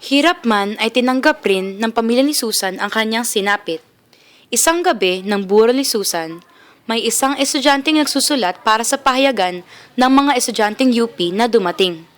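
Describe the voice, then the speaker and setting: A woman's voice: fairly high pitch, animated, moderate pace. A young woman with a Filipino accent reading scripted narration for a radio drama.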